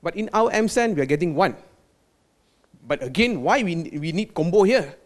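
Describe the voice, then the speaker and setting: A man from Malaysia with a Malaysian accent, a quality control manager giving a technical product presentation, halting with fillers and restarts and dropping in Malay words. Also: high-pitched, lively intonation. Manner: hesitant, many fillers and restarts.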